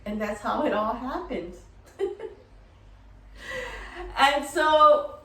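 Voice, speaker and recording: A middle-aged woman telling personal stories casually, rambling in an animated way and acting out remembered dialogue. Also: sits high, drawn-out words. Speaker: dog-business entrepreneur and course creator. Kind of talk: casual personal storytelling to camera. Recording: roomy echo, distant from mic.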